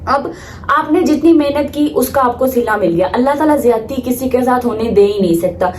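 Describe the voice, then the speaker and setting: Teen pakistani girl vlogging, young woman w high voice, casual but opinionated, throws in english bits, slight background noise. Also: animated, a little fast, darkish tone, echoey room. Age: late teens. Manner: emphatic, opinionated, mixes in English phrases. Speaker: student vlogger. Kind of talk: casual vlog talk to viewers.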